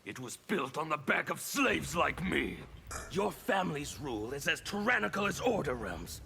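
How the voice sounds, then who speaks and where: An actor playing a fanatical, bitter anarchist warlord in a video game, with a gravelly voice and a theatrical, sneering delivery. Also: male voice, a little high, very animated, normal speed, sharp tone, a little hiss or hum, exaggerated voice. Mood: defiant zeal. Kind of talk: video game villain dialogue.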